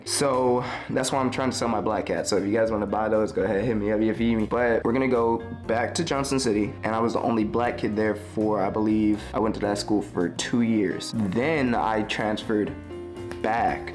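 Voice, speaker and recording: A young man from Tennessee telling his life story in a casual, slangy, rambling way, often correcting himself. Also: lowish voice. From Tennessee, USA. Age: young adult. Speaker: vlogger. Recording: music playing under the voice.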